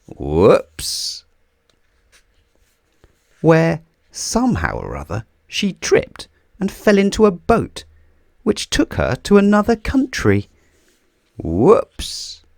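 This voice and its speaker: A man reading a children's storybook aloud in a theatrical, deliberate way, drawing out key words for emphasis. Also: a little high, wildly swooping pitch, creaky, a little mellow, no echo, studio quality. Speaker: children's book reader. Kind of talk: scripted storybook read-aloud.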